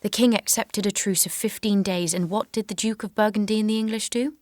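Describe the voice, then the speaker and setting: A woman's voice: mid-range voice, fairly even intonation, a little fast, darkish tone, close to an everyday voice. A young woman playing a determined, devout eighteen-year-old warrior maiden in an audio drama, speaking earnestly, firmly and bluntly. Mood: frustrated, testifying. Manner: earnest, firm, outspoken. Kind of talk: historical audio drama acting.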